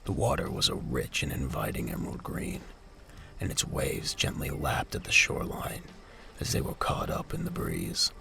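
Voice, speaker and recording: A man narrates an audio drama in an extremely deep voice full of gravelly creak. His scripted delivery is highly animated in pitch, set over clear background music. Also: normal speed, noisy background.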